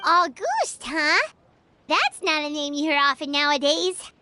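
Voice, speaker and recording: A woman voicing a tiny, excitable, childlike fairy companion in a video game, with a squeaky voice and an exclamatory manner. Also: measured pace, dry recording, full character voice. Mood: puzzled, musing. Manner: talks about herself in third person, exclamatory. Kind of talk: animated video game voice acting. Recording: loud game music behind.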